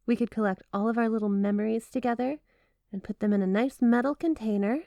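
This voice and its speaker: A woman's voice: a little high, fast talker, creaky, warm tone, dry recording. A woman playing a flirty, obsessive yandere wife in an ASMR roleplay, gushing and coy with a possessive edge under the sweetness. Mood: sweet, affectionate. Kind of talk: ASMR roleplay, addressing the listener as spouse. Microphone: close, dry microphone.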